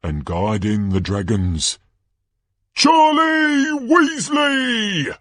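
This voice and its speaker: Bombastic showman announcer, high-pitched, very animated, drawn-out booming phrases, gushing, dry storybook recording. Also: no echo, raised voice, exaggerated voice. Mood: showy, suspenseful. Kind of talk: stage-play sports commentary read aloud.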